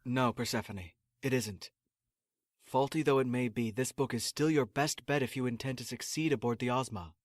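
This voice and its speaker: A man's voice: mid-range voice, moderate pace, dry recording, clean studio sound, lightly acted. A man playing an exasperated, officious assistant in a sci-fi comedy audio drama, formal, sarcastic and condescending. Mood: stern, lecturing. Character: exasperated, officious male assistant prepping space recruits.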